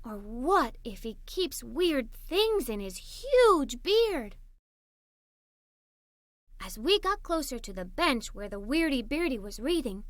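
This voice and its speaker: A woman reading a children's story aloud in an animated, expressive way. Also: high voice, slow pace, no echo. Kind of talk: scripted read-aloud storytelling for kids.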